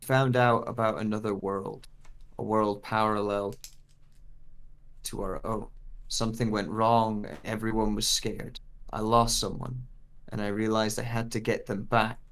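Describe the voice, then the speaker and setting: Man acting a low-voiced searcher with lost memory. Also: little pitch movement, a little slow, a bit bright. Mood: searching. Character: bewildered searcher with lost memory, reading found notes. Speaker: actor reading a script. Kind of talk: dramatic first-person narration, script readthrough.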